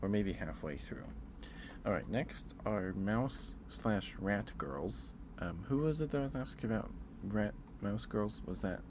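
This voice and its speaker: A soft-spoken man chatting casually as an ASMR livestream host, in a hesitant, rambling way. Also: on the low side, expressive, unhurried, background noise. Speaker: live streamer and host.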